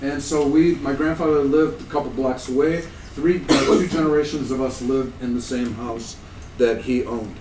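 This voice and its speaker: A man's voice: mid-range voice, steady tone, normal speed, noisy background. A man in his sixties from the United States giving a conference talk, conversational and self-deprecating with an amused tone. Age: 60s. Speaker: conference presenter. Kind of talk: academic conference talk, anecdotal. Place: lecture room at a conference. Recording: echoey room, distant mic.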